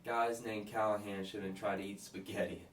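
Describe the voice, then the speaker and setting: A man's voice: lowish voice, level tone, moderate pace, distant mic, slight background noise, close to an everyday voice. A man voicing a smug, scornful tough cop in a read-aloud crime story. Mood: amused. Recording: echoey room, off mic, guitar music behind.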